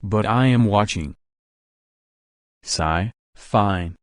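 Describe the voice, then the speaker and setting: A man acts as a flustered teenage schoolboy with a notably low voice. He sounds awkward and defensive in this visual novel drama, on a dry recording. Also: drawn-out words, no room sound. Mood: casual, grudging.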